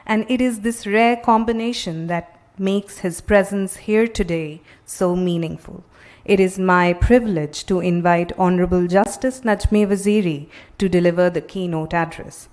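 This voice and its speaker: A woman's voice: fairly low pitch, fairly even intonation, normal speed, a little mellow. A woman moderating a conference, reading a polished, ceremonial introduction of the next speaker. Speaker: event moderator. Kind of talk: scripted introduction.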